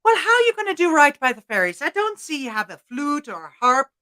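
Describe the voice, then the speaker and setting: A woman's voice: high voice, very animated, fairly quick, bright, forceful tone, voice pushed up, heavily acted. A woman voicing a grumpy, weary leprechaun cobbler who scolds in exasperated, folksy storybook speech. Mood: stern, bargaining.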